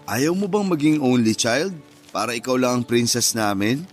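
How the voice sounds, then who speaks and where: A doting young girl's father played by a man in a radio drama, with a medium-pitched voice. He jokes and coaxes playfully over soft music. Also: expressive, moderate pace, natural voice. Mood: coaxing, doting. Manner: playful, coaxing. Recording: music bed under the voice.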